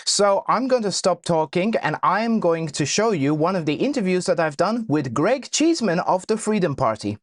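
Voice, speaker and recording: A man introducing his interview video, halting with lots of fillers. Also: sits high, big pitch swings, dry recording, studio quality. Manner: hesitant, many fillers. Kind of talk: casual vlog intro and interview.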